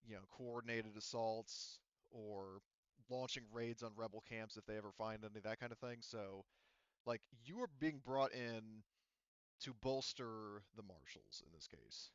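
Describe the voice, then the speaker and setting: A man running a tabletop game as game master, explaining the situation off the cuff in a halting, rambling way and often qualifying himself. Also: on the low side, smooth, no echo, dull audio.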